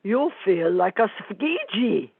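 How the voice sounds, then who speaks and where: Tinny, slow, pompous psychiatrist, played by a woman. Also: medium pitch, very animated, vocal fry, breathy edge, no room sound, full character voice. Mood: pompous, analytical. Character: pompous, neurotic psychiatrist counseling a mobster. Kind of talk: comic radio play acting. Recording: tinny phone-like sound.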